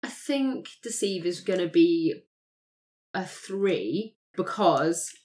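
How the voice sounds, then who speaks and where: A woman with a slightly low voice plays a tabletop roleplay. She improvises and talks through her moves in casual chat. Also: expressive, takes it slowly, darkish tone, a little hiss or hum.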